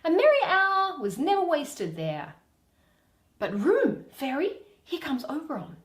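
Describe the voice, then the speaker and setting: A woman performing a mischievous, boastful male sprite in theatrical, drawn-out Shakespearean verse. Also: high-pitched, huge pitch swings, pitched above the performer's own voice, exaggerated voice. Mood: gleeful, boastful.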